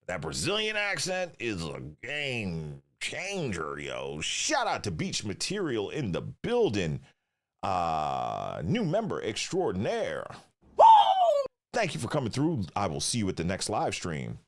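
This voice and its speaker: A deep-voiced man hosts a live stream, reacting with slangy, swaggering banter. His pitch swings widely from low drawls to sudden highs. He talks loosely and casually, with profanity. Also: wildly swooping pitch, unhurried, a bit bright. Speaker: livestream host.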